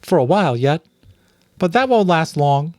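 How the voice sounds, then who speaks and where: A man voicing a polite, earnest adult female creature in an audiobook, puzzled and gently reassuring. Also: on the high side, big pitch swings, moderate pace, dry recording, clean studio sound, pitched above the performer's own voice. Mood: gentle.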